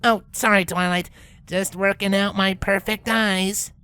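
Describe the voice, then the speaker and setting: A man's voice: high voice, little pitch movement, unhurried, sharp tone, clean tone, faint music behind, voice pushed up. A man voicing a cocky, brash young female pegasus athlete in a fan fiction reading, boastful and slangy. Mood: smug.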